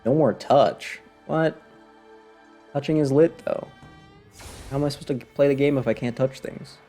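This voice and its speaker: A man streams a video game with casual, off-the-cuff commentary. His voice sits at an ordinary pitch, and he comes across wry and sarcastic. Faint music plays behind him. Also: normal speed, warm tone. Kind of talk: casual live gameplay commentary.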